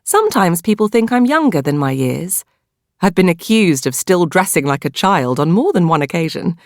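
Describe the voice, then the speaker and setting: Woman, British accent, lowish pitch, measured novel narration, close dry studio sound. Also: sing-song, no echo. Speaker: audiobook narrator. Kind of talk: scripted first-person novel narration.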